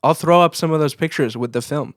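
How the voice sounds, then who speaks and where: A man's voice: on the high side, a little fast, no room sound, studio quality. A man chatting casually as a podcast co-host, in an informal conversational style.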